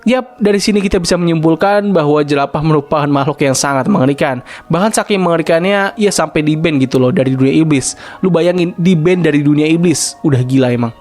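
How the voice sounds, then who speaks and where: A man's voice: fairly high pitch, fast talker, darkish tone, faint music behind. A young man with an Indonesian accent narrating a scripted cartoon recap, with bits of casual slang. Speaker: video host and narrator.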